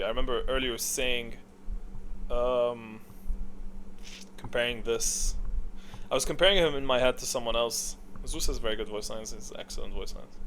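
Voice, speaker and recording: A man's voice: on the low side, a bit bright, a little hiss or hum. A man giving casual, off-the-cuff commentary on a game tier list, bluntly opinionated, thinking aloud and repeating himself for emphasis.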